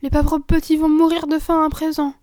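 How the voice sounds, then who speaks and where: A soft-hearted little girl pleads and protests in a high, insistent voice that a woman reader puts on. The storybook delivery is close and dry, full of childlike earnestness. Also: flat delivery, normal speed, no echo, natural voice. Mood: indignant. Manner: pleading, indignant.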